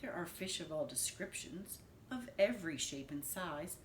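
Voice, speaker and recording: A woman reading a rhyming picture book aloud to young children like a nursery teacher, with deliberate, dramatic phrasing. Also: on the low side, animated, soft background music. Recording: roomy echo with faint background noise.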